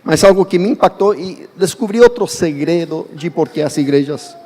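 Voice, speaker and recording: A man preaches to a congregation in a large, reverberant church hall. He speaks in a slightly higher voice, and his tone stays conversational. He often turns to ask the listeners questions. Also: normal speed, mellow. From United States. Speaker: guest preacher. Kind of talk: sermon.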